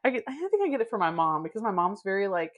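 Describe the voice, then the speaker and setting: A young woman co-hosting a podcast, talking in a chill, calm, monotone way in casual chat with a friend. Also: mid-range voice, fast talker, highs cut off. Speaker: podcast co-host.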